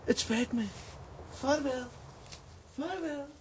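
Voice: high voice, takes it slowly, a little hiss or hum. An actor voicing a lovesick, sentimental living coffee pot in a children's radio play, talking plaintively. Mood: pleading, distressed.

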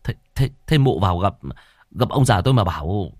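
A man's voice: lowish voice, animated, moderate pace, no room sound, clean studio sound. A man voicing a nervous young village son who stammers.

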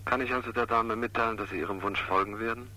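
An actor playing an anonymous, menacingly polite messenger in a 1960s German radio crime drama, formal, evasive and rasping. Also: male voice, lowish voice, fairly even intonation, fast, bright, forceful tone, slight background noise. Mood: coolly polite. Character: anonymous male go-between, menacingly polite and insistent.

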